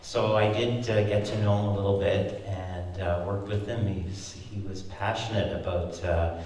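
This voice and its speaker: A man in his sixties with a very low voice speaks haltingly, full of fillers and restarts. He is picked up from far off in a big, echoey concert hall. Faint noise sits underneath. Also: fairly even intonation, darkish tone. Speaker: flute player. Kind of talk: spoken remarks at a concert.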